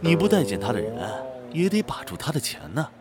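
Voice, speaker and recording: An actor playing a chatty, nosy, worldly friend of a rich heir, casual and teasing in audio drama dialogue. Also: male voice, a little high, sing-song, a little fast, music in the background. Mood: gossipy.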